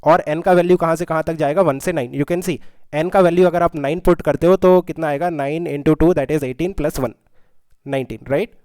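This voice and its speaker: A man's voice: fairly high pitch, fairly even intonation, normal speed, darkish tone, smooth, no room sound. A man with an Indian accent working through a problem step by step as a tutor, explaining and often checking in with short questions. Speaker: physics tutor. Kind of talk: step-by-step problem-solving lesson, mixing two languages.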